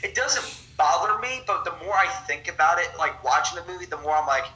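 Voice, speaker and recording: A man co-hosting a casual movie chat, animated and profane, slipping into mocking impressions. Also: high voice, fast talker, poor audio. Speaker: podcast co-host.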